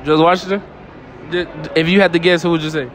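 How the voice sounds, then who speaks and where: A man's voice: on the high side, little pitch movement, fast. A young man interviewing strangers in a shopping mall, repeating their answers back and laughing often. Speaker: street interviewer. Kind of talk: casual street interview. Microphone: handheld phone.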